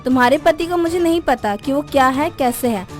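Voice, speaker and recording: Indignant young married woman played by a woman, high voice, cutting, cartoon story with faint music. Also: lively intonation, moderate pace.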